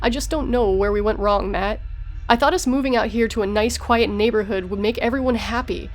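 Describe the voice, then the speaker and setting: A woman's voice: on the high side, brisk, soft background music, natural voice. A woman playing an exasperated, complaining suburban mother in an audio drama. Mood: weary, hurt. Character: exasperated suburban mother, upset about her son.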